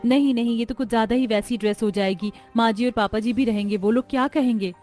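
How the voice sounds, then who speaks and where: A woman's voice: on the high side, fairly even intonation, fast, a little mellow, lightly acted. Young Indian daughter-in-law voiced by a woman, light music behind. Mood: reluctant, insistent. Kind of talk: cartoon story dialogue.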